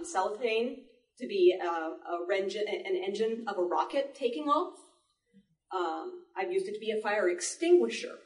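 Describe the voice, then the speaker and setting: A woman giving a live demonstration talk to an audience, explaining in an animated way with playful asides. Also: medium pitch. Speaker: panel presenter, sound effects artist. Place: indoor library panel before an audience. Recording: echoey room, distant microphone.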